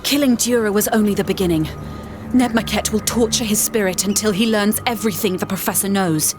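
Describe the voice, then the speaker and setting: A woman's voice: mid-range voice, a little fast, music in the background, lightly acted. A woman voicing an earnest, learned female scholar who explains spells and gives quest directions in a game. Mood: grave. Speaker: quest giver.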